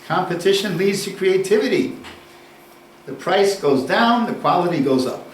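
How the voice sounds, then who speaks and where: A man giving a university lecture, deliberate and unhurried, posing questions and then answering them. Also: sits high, expressive, slight background noise. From United States. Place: lecture room. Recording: echoey room, distant mic, faint ambience.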